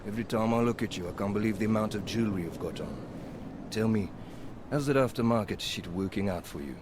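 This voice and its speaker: A man's voice: lowish voice, fast, background noise, natural voice. A man playing a cocky wisecracking pilot. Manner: joshing, crude and laid-back. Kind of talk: video game dialogue.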